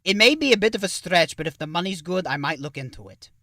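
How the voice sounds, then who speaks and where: Man acting as ancient vampire show host, slightly high voice, bright tone, genial, self-important, theatrical interviewing. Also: expressive, quick pace, dry recording, clean studio sound, recorded from a distance, full character voice. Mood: genial, playful. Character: genial, self-important thousand-year-old male vampire horror host. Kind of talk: in-character interview hosting.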